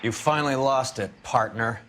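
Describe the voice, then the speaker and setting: An actor playing a smug, crooked American police detective, sneering and dismissive. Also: male voice, mid-range voice, normal speed, fairly bright tone, lightly acted. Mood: smug, scornful. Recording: noisy background.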